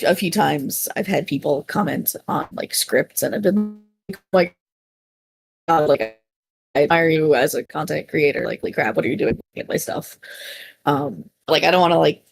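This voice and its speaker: Animated, husky woman chatting casually. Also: lowish voice. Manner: effusive, rambling, self-deprecating. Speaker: panelist, small content creator and voice actor. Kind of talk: casual unscripted podcast chat.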